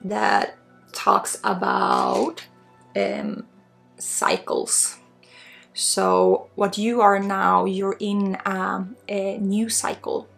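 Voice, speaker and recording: A woman from Sweden with a Swedish accent guides a spiritual healing ASMR session in a soft, breathy, informal and halting way, often dropping toward a whisper. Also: mid-range voice, flat delivery. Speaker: spiritual guide, energy healer. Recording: soft music under the voice. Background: soft music.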